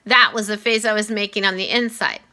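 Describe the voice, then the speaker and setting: Woman reading as a candid, bright-voiced fifth-grade boy. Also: medium pitch, a little fast. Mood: dismayed. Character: casual, candid fifth-grade boy telling his story.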